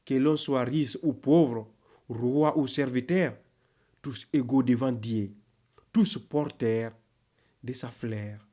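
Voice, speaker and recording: A man reciting spiritual poetry in a solemn, declamatory way, with deliberate pauses between phrases. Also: mid-range voice, dark tone. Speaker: poem narrator.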